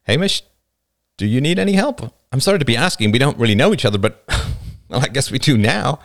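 A man voicing a gentle, earnest and inquisitive young woman with a soft, concerned manner. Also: medium pitch, very animated, a little fast, no room sound, clean studio sound, voice pushed up. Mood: sympathetic, earnest.

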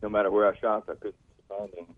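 Fast male caller on a tinny phone line, lower voice, agreeable. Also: flat delivery. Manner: quick, agreeable, many okays. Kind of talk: call-in radio chat. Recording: thin hissy phone line.

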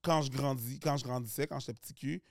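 Animated Québécois man. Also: mid-range voice, fast, gravelly, no room sound, studio quality. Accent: Quebec French. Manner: animated, switches accents and voices while explaining. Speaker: comedian guest. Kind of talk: casual podcast chat. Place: podcast studio. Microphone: studio mic.

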